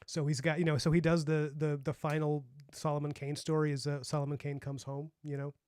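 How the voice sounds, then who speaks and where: A man's voice: on the high side, flat delivery, brisk, crowd noise. Chatty man with a light young voice. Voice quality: light youthful voice. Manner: rambling, chatty, many fillers and restarts. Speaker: streamer and comic artist. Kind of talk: casual chat on a livestream.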